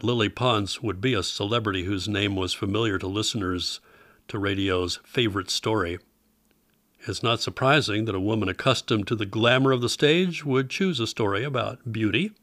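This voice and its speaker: A man's voice: on the low side, fairly bright tone. A man hosting an old-time radio program, reading informative scripted introductions.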